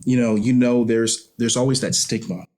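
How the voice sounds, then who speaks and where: A man's voice: medium pitch, steady tone, normal speed, a little mellow. A man speaking as an interview guest in a reflective, earnest conversation, often repeating and rephrasing as he goes.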